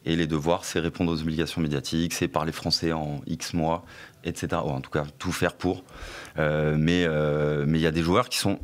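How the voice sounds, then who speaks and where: A man's voice: a bass voice, level tone, normal speed. A middle-aged man, a former club press officer, answering questions on a radio talk show with many fillers and restarts.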